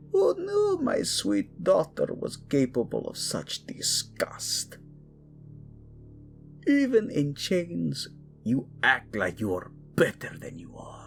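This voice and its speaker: Audio drama villain: male actor as cruel, scornful older king and father, slow gravelly sneer, theatrical. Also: a little high, heavily acted. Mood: menacing disgust. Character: cruel, scornful, power-hungry royal father, older tyrant.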